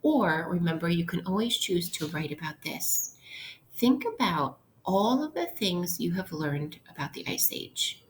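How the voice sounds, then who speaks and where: A woman's voice: on the low side, very animated, a little slow, a little hiss or hum. A woman teacher reading aloud to children in an instructional way, explaining things simply. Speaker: elementary school teacher. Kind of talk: interactive read-aloud lesson for children.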